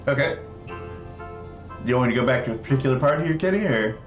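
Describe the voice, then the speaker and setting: A man presenting casually on a livestream, telling and explaining stories in an animated, amused way. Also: medium pitch, quick pace, muffled recording. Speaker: presenter of a rakugo translation project. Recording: roomy echo, faint music underneath.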